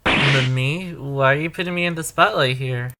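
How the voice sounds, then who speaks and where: An actor playing a sarcastic, self-doubting amateur defender in a courtroom audio drama, given to exclamations. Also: male voice, mid-range voice, moderate pace, recorded from a distance, heavy background noise, natural voice. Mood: anxious.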